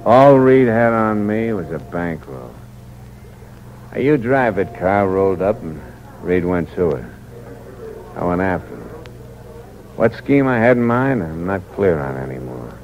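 A young jailbird from a vintage radio thriller. His voice is deep, weary and bitter, with slangy hard-boiled delivery, on a muffled recording with clear hiss and noise. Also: lively intonation, a little slow, long pauses. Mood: bitter, brooding. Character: weary, bitter young male ex-convict, mortally wounded. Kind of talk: 1940s radio crime acting. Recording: dull, noisy old radio recording.

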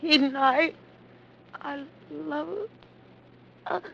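A woman's voice: sits high, steady tone, drawn-out words, gravelly, heavily acted. An actress playing a frightened, guilt-ridden woman on the run, in grave 1940s radio drama style. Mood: dying, faint. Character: frightened, guilt-ridden woman fleeing war with her lover. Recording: dull, noisy vintage radio recording.

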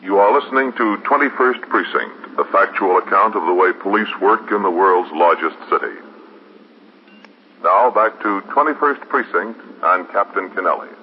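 A deep-voiced American man announces in formal, authoritative 1950s radio style. Long reverb trails his words on a dull, aged recording. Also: normal speed, a little hiss or hum.

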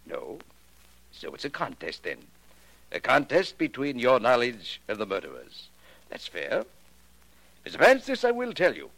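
An actor playing a proud, sly maker of magic illusions in a 1940s radio mystery, with formal, deliberate, theatrical phrasing. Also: male voice, medium pitch, wildly swooping pitch, vocal fry, a bit bright, exaggerated voice, poor audio. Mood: sly, boastful. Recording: vintage 1940s radio recording.

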